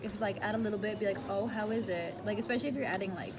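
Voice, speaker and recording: A woman's voice: medium pitch, rapid-fire, background noise. A young woman from Brazil, chatting playfully over a meal with lots of fillers. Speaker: guest. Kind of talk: casual mukbang chat. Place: restaurant table. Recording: loud background music.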